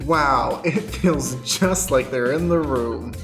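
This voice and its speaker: A man's voice: medium pitch, slow, over music. A man playing a gee-whiz enthusiastic customer with exaggerated amazement in an improvised comedy commercial. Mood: amazed.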